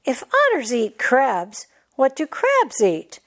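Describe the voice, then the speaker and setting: A woman voicing a curious, excitable young male tiger cub in a storybook, with an eager, questioning manner. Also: sits high, takes it slowly, voice pushed up, heavily acted.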